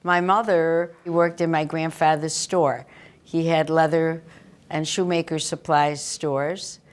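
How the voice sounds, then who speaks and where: Woman, 80s, from the Netherlands; low and unhurried testimony. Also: clean tone. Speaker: Holocaust survivor interviewee. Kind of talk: recounting childhood memories. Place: indoor interview set with photo wall.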